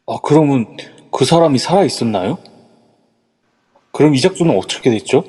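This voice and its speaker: A man's voice: medium pitch, expressive, moderate pace, a little mellow, slightly rough, long reverb tail. A man playing a middle-aged male company executive on the phone, polite and formal. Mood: concerned. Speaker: voice actor. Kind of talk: amateur audio drama acting. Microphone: phone app mic.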